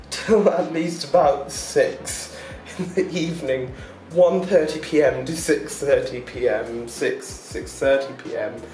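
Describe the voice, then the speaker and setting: Guy vlogging at home, rambly and chatty, lots of ums, echoey room, soft music behind. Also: mid-range voice, takes it slowly, far from the mic. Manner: rambling, chatty, with many fillers and short laughs. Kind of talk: casual daily vlog chat.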